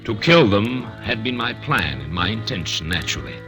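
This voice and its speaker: Smug cold killer, lively pitch, calm and superior, old radio drama with music under. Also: male voice, normal speed. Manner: calm, superior, self-satisfied narration. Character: smug cold murderer on trial, male. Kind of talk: 1940s radio drama acting.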